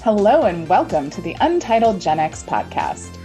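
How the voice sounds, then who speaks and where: A woman's voice: mid-range voice, sing-song, normal speed, warm tone, soft background music, low-quality recording. A woman in her forties co-hosting a podcast, telling stories in a casual, conversational way. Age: 40s. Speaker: podcast co-host. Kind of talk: casual chat with a friend, some scripted intro.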